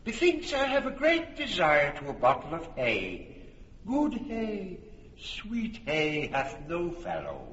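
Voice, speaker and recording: An actor playing a boastful, bumbling weaver with a donkey's head in a radio drama. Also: male voice, high-pitched, huge pitch swings, slow, fairly bright tone, echoey room, big-room sound, highs cut off, heavily acted. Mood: contented. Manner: bumbling, pleased with himself. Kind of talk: classic stage radio drama.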